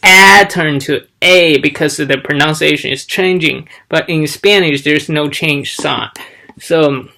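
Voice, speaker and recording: Slow halting man. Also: a little high, vocal fry, sharp tone. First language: Chinese. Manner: slow, halting, demonstrates sounds aloud. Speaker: language learner vlogger. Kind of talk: casual teaching vlog.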